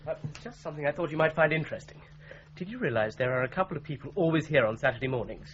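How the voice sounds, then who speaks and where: An actor playing a keen, helpful British amateur sleuth in a radio crime drama, polite and eager. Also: male voice, on the high side, very animated, rapid-fire. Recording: muffled radio drama recording with hiss.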